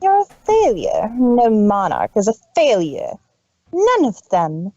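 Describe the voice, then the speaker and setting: A man's voice: sits high, huge pitch swings, slow, darkish tone, no echo, highs cut off, voice pushed up, exaggerated voice. A man voicing a cold, cruel, haughty older-sister pony mare in a story reading, contemptuous and scolding in manner. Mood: harsh, scornful.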